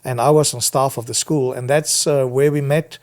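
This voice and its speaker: A middle-aged man with a South African accent, telling personal stories as an interview guest in an earnest, measured, reflective way. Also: mid-range voice, steady tone, darkish tone. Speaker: guest missionary and visiting preacher. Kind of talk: conversational personal storytelling in an interview.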